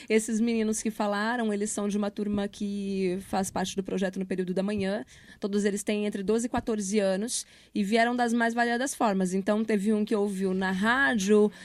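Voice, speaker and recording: A woman's voice: medium pitch, fairly even intonation, normal speed, no room sound. A Brazilian woman giving casual interview answers, explaining things in an informal, slangy way as a project leader and teacher.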